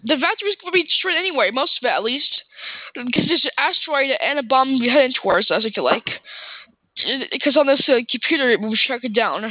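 A man's voice: very high voice, animated, fast talker, no echo. A panicky factory worker, played by a man, stammers through his doom, convinced everyone is about to die. He keeps repeating himself in frantic bursts. The sound is tinny and phone-like, with no room around it. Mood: despairing. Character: panicky doomed male factory worker, secret spy. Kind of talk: improvised comedy scene.

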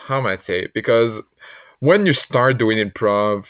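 Young man, slow and unhurried, hesitant with many restarts, tinny dry call audio. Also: medium pitch, no room sound. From Quebec, Canada. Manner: hesitant, many fillers and restarts, laughs often. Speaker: podcast guest, improv player. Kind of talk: casual chat.

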